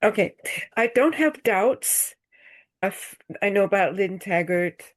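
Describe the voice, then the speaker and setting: An older woman from the United States, a guest on a video call, speaking slowly and searching for her words. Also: mid-range voice, expressive. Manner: slow, thoughtful, searching for words, drops to a whisper. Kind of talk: casual chat on a group video call.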